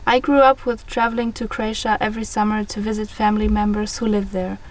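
A woman's voice: mid-range voice, little pitch movement, a little fast. Young woman reading from a distant mic. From Germany. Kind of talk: read-aloud scripted conversation.

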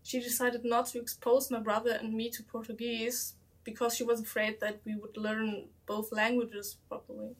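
A woman's voice: on the high side, level tone, moderate pace, hardly any pauses, poor audio. A young woman speaking candidly in a casual conversation. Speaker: discussion participant.

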